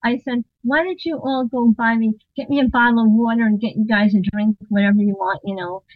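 A woman telling a long story in a casual interview, animated, acting out what people said and laughing often. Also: on the high side, brisk, no room sound, narrow, tinny audio. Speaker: interview guest, drummer and singer.

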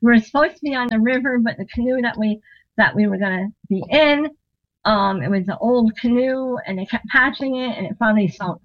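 Lively storytelling woman on a dull line. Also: a little high, fairly quick, dry recording. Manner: animated storyteller, acts out quoted dialogue, laughs often. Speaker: interview guest, drummer and singer. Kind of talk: storytelling in a casual interview.

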